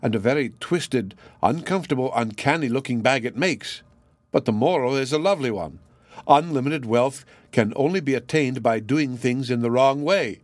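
A man's voice: mid-range voice, normal speed, dry recording, natural voice. A genteel young lady of a storybook, voiced by a male narrator, dreamy, earnest and eager. The sound is dry and close. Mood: eager, curious.

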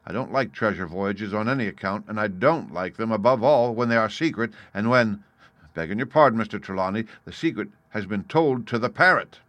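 Guy doing a gruff-mannered stern ship captain for an audiobook, blunt and forceful, no room echo. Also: on the low side, animated, a little fast. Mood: stern, wary. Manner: forceful, clipped, plain-spoken. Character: blunt, stern, uneasy ship's captain, a man. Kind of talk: audiobook character voice.

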